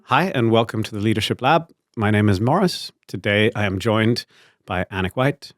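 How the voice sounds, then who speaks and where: A man's voice: fairly low pitch, animated, normal speed, no echo, studio quality, soft background music. A man hosting an interview show, asking curious, conversational questions with hesitant restarts. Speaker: podcast host.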